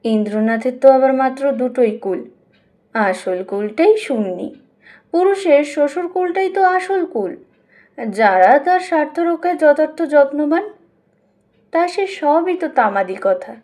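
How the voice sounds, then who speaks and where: A woman with a high voice narrates a literary audiobook. She reads scripted prose expressively, as a storyteller would. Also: expressive, a little slow, darkish tone. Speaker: audiobook reader.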